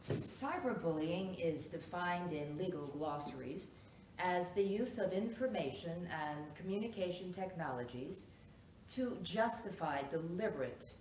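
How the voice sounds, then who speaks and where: A formal female psychiatrist played by a woman testifies in a courtroom stage drama with a low voice and clinical, deliberate phrasing. She is heard from a distance in an echoing, noisy room. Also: animated, a little mellow. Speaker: actor in a one-act play.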